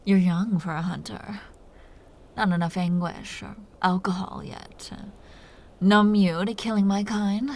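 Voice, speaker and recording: A woman playing a teasing, seductive, dominant vampire captor in an intimate roleplay audio drama, talking in breathy half-whispers. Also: mid-range voice, steady tone, measured pace, darkish tone, natural voice. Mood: taunting.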